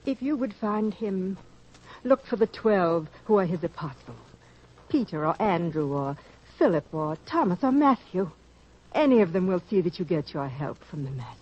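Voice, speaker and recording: An actress playing a calm, devout neighbor woman in a 1940s radio drama, speaking gently and earnestly with a breathy voice. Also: lowish voice, normal speed. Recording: dull old radio recording.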